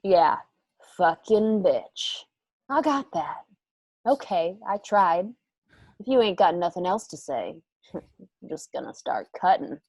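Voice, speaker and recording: A woman's voice: fairly low pitch, sing-song, slow, pauses often, darkish tone, dry recording, exaggerated voice. An American woman playing a vengeful, coolly cruel outlaw in a Western radio drama, taunting with folksy threats. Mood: mocking, cold.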